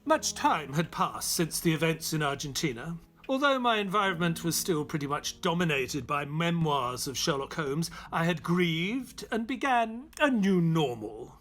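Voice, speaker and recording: An actor playing a loyal, grieving gentleman who narrates a detective audio drama in a measured, reflective manner. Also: on the high side, very animated.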